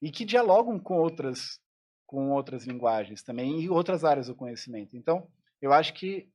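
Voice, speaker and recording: A man's voice: mid-range voice, lively intonation, normal speed, darkish tone. A man, a musician guest on a podcast, speaking reflectively and often restarting his sentences. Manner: reflective, thinks aloud with many restarts. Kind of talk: casual interview conversation.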